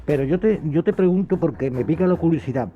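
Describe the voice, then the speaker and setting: A man's voice: fairly high pitch, lively intonation, fast. A man with an accent from Spain hosting a radio conversation, questioning in a conversational way. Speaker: radio host. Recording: dull lo-fi sound, music underneath. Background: music.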